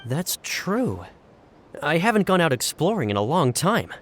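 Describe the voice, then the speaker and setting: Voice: mid-range voice, sing-song, measured pace, a little hiss or hum. An actor voicing a gullible, earnest stay-at-home writer in a video game. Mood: curious.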